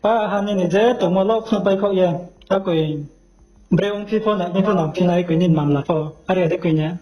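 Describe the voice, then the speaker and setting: A man's voice: sits high, drawn-out words, a little mellow, poor audio. A man talking informally in a recorded phone conversation.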